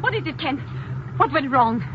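An actress playing an anxious, loyal underground accomplice in a 1950s radio drama, with formal, careful phrasing. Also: female voice, sits high, sing-song, moderate pace. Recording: muffled, noisy old radio broadcast.

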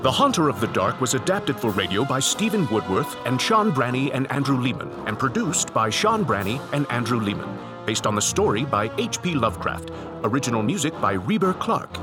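A man's voice: medium pitch, very animated, brisk. A man reading scripted closing credits as an announcer. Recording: prominent music behind voice.

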